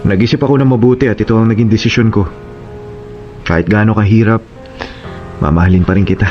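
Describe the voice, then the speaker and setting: A man's voice: lowish voice, steady tone, fast talker, lo-fi sound, faint music behind, natural voice. A man playing a devoted, remorseful father and suitor in a radio drama, earnest and tender. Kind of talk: Tagalog radio drama acting.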